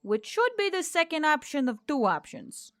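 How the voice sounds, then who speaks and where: Fantasy audiobook centaur, played by a woman: loyal female friend, higher register, rambling and teasing. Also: sing-song, moderate pace, dry recording, soft background music. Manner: chatty, rambling.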